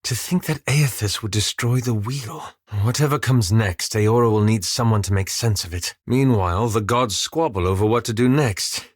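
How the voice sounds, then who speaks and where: An actor playing a reserved, scholarly male fantasy adventurer in a video game, speaking formally and articulately with measured, wry understatement. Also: fairly low pitch, no echo, clean studio sound, close to an everyday voice. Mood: concerned, grave. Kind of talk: scripted video game character dialogue.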